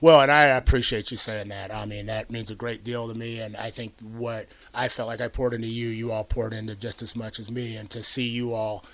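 A middle-aged man hosts an interview in a low, fairly level voice. He talks in a reflective, earnest way, and his sentences are dotted with "you know.". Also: level tone, fairly quick, hardly any pauses, a bit bright. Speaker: host. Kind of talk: radio interview conversation.

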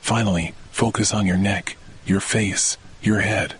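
Male meditation host, low register, soothing and measured scripted read, faint thunder ambience behind. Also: fairly even intonation, noisy background. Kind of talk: scripted guided sleep meditation. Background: thunder rumbles.

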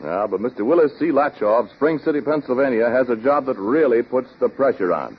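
Animated vintage radio announcer man. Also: medium pitch, a little fast, breathy edge. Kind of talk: 1930s-40s radio announcing, sponsor pitch. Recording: dull old radio recording.

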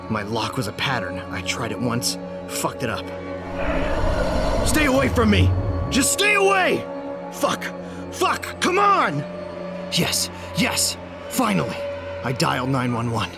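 An actor as a panicked man, wildly swinging pitch. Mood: frantic, terrified. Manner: intense, breathless self-talk with short fragmented phrases. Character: panicked man trapped at home by an unseen intruder, armed, frantic. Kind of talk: first-person horror audio drama narration. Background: music.

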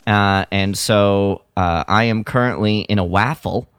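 A man on a podcast panel chatting casually in a halting, wordy way. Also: on the low side, a bit bright, clear, resonant tone, no echo, studio quality. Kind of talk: casual chat.

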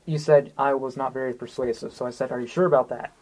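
A woman's voice: extremely low pitch, fairly even intonation, fast, darkish tone, low-quality recording. A woman playing a tabletop roleplaying game, talking casually in and out of character, with some noise behind.

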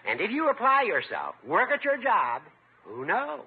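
An actor playing a fussy, admonishing older judge in a 1950s radio comedy, precise and lecturing in manner. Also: male voice, high-pitched, wildly swooping pitch, moderate pace, breathy edge, narrow, tinny audio, exaggerated voice. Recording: thin, band-limited 1950s radio.

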